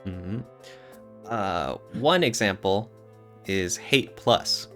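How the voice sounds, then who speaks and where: A man's voice: sits low, animated, very slow, sharp tone, soft background music. A man co-hosting a podcast, talking casually in an earnest, reflective way with some hedging. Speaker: podcast co-host.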